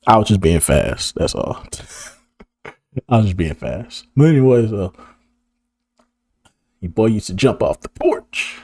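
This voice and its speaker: A hoarse man of about thirty, talking casually to camera in a rambling, slang-heavy way and laughing often. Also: lowish voice, sing-song, slow pace, pauses often, darkish tone, dry recording, studio quality. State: hoarse, losing his voice. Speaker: video creator. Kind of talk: casual reminiscing monologue to camera.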